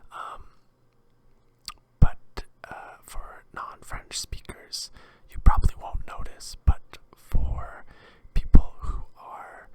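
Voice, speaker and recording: Slow, whispering Canadian ASMR host. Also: a little mellow. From Quebec, Canada. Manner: hesitant, halting, rambling.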